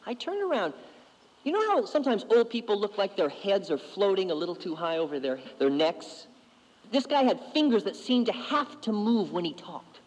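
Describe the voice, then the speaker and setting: A man's voice: sits high, big pitch swings, moderate pace, a little mellow, long reverb tail, poor audio. A man telling a spooky story in a wry, conversational way.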